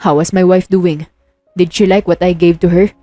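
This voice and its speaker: A woman's voice: fairly low pitch, steady tone, moderate pace, dark tone, no echo, faint music behind, natural voice. A woman playing a brooding, suspicious husband, stern and wounded, in a romance audio drama. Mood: wistful, hurt.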